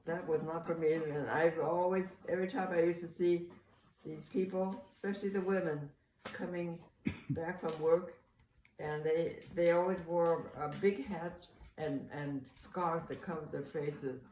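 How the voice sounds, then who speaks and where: A woman's voice: sits low, steady tone, unhurried, warm tone. Older woman reminiscing, echoey distant recording. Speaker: mother sharing family memories. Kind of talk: casual oral-history reminiscence. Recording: echoey, distant mic, faint room noise.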